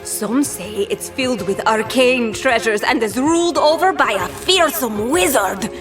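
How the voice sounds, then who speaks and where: A bold, feisty swashbuckling pirate woman in an audio drama. Her high voice swings widely as she talks in a jaunty, commanding way, with music behind her. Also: animated, a little slow. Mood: jovial. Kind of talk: scripted audio drama acting.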